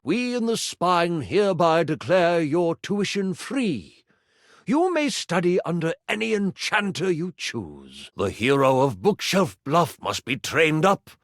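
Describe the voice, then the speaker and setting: A man voicing a solemn high enchanter in a video game, speaking in a stately, ceremonious way. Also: no room sound, clean studio sound, exaggerated voice. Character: solemn, grand high enchanter granting honors. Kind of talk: tabletop game roleplay in a video game.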